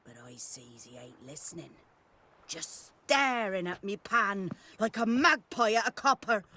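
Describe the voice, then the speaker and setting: An actress playing a chatty, indignant old peasant granny in a fantasy video game, with a rural British accent and a rambling, folksy way of telling things. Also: female voice, medium pitch, expressive, unhurried, pushed and bright. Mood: rambling, animated. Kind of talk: video game voice acting, storytelling.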